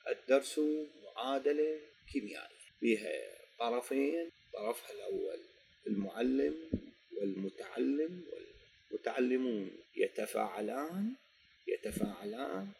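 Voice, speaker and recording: Gravelly Iraqi man teaching grammar. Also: medium pitch, warm tone, a little hiss or hum, poor audio. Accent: Iraqi Arabic. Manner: dictates slowly, quizzes students and answers himself. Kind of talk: classroom lesson with dictation.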